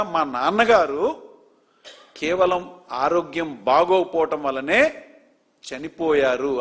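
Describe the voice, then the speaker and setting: Emphatic high-voiced male news presenter. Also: fairly bright tone. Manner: indignant, emphatic, rhetorical questions. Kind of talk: political news commentary.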